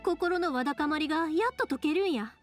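A woman voicing a chatty, warm-hearted young fireworks maker with a Kansai accent in an anime-style game dub. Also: high-pitched, a little fast, soft background music, lightly acted. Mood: warm, reassuring.

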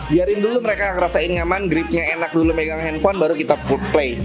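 A man's voice: fairly high pitch, fast, over music. A young man, the tournament organizer, chatting casually in an interview with lots of fillers, indoors at a cafe. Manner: relaxed, chatty, many fillers and laughs. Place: cafe stage in front of a decorated wall, indoors.

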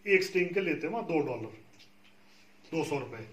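A man's voice: on the high side, animated, moderate pace, very noisy. Man teaching from across a room. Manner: patient, explanatory, often checks with "ठीक है जी". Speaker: beekeeping trainer. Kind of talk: explaining to a group of farmers. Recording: echoey room, far from the mic.

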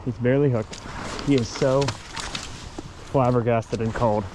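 A man with an American accent narrating his fishing trip on a creek bank, recorded on a chest-mounted action camera, relaxed and chatty but excitable when a fish bites. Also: mid-range voice, measured pace, noisy background. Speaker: fishing vlogger. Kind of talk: casual outdoor narration. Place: creek bank outdoors in winter.

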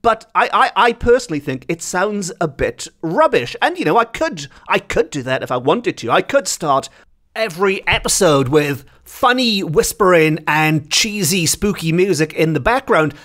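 A man's voice: sits high, very animated, normal speed, a bit bright. A man hosting a podcast in a casual, chatty, self-deprecating way. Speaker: podcast host.

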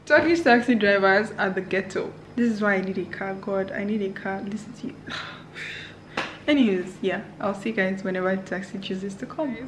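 A woman's voice: mid-range voice, normal speed. Woman in her twenties, chatty vlog talk, arm's-length camera, light background hiss. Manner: chatty, laughs often, talks straight to viewers. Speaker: vlogger. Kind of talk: casual vlog chat to camera. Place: apartment indoors, later outdoors in a city. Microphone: handheld camera at arm's length.

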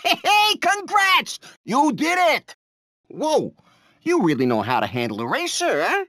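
An actor playing a cocky, taunting toy robot in a video game, with a brash, teasing, streetwise manner. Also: male voice, extremely high pitch, huge pitch swings, measured pace, pushed and bright, no echo, clean studio sound, full character voice. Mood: excited. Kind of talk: animated video game voice lines.

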